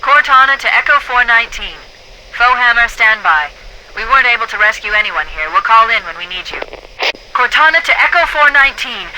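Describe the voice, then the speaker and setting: Sharp, bright female AI on radio. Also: a little high, rough recording. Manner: clipped, businesslike. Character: female military AI radio dispatcher, sharp and urgent. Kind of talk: scripted radio calls in a video game. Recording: radio transmission with faint noise.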